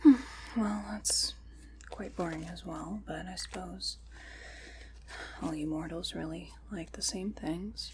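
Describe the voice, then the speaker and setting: A woman playing a wry, faintly condescending witch in an ASMR roleplay, whispering softly and musing as she goes. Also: lowish voice, steady tone, a little hiss or hum. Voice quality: soft, breathy whispering. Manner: unhurried, musing, dryly teasing.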